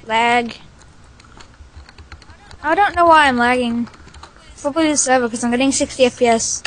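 A woman's voice: high voice, moderate pace, rough recording. A woman talking casually with a friend over voice chat while gaming, chatty and hesitant, full of fillers. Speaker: gamer.

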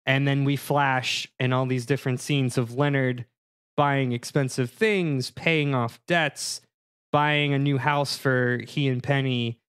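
A man's voice: mid-range voice, steady tone, a little slow, fairly bright tone, smooth. A man hosting a podcast discussion in a mock-serious, conversational way. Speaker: podcast host.

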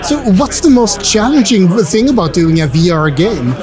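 A man's voice: high voice, big pitch swings, moderate pace, crowd noise. A man hosting casual interviews at a busy indoor event, asking playful, jokey questions into a handheld mic. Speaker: host interviewer. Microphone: handheld mic held out to guests.

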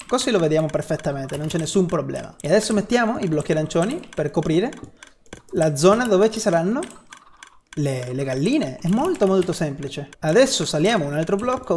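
Chatty young man, noisy home recording. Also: a little high. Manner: chatty, repeats himself, mutters mild swear words. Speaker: gaming YouTuber. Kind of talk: casual spoken Minecraft building tutorial. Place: small room at home. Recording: clear background noise, keyboard and mouse clicks.

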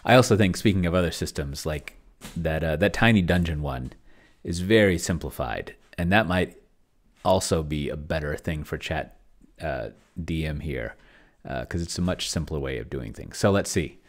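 A man's voice: extremely low pitch, normal speed. A middle-aged man giving casual livestream commentary, rambling and thinking aloud as he types. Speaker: host of a solo tabletop game video.

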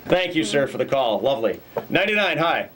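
A man's voice: medium pitch, big pitch swings, fairly quick, noisy background. A man hosting a morning radio show, bantering in a casual, joking, colloquial way on a close studio mic. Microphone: close broadcast boom mic.

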